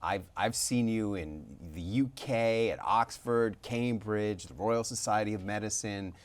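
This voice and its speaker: A man's voice: fairly low pitch, unhurried. A man hosting an interview, asking leading questions and opening with praise for his guest. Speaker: interview host.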